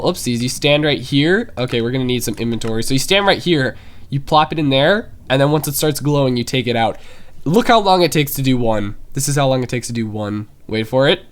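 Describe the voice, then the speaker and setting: Young male gaming YouTuber, congested from a cold, upbeat rambling let's-play chatter, voice on the higher side. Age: young adult. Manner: chatty and excitable, many fillers. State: stuffy from a cold. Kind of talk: casual Minecraft let's play commentary.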